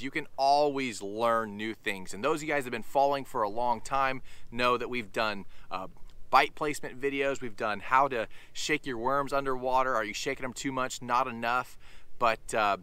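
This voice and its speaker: A man's voice: medium pitch, pushed and bright, clear, resonant tone, dry recording. A man in his thirties hosting a fishing channel, talking casually and upbeat to camera outdoors by a lake, addressing viewers as you guys. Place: outdoors on a sunny lakeshore.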